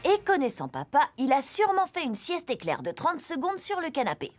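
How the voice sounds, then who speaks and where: Young girl detective, confident, brisk, lively high voice, faint music. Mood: determined. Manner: brisk, investigative, self-assured.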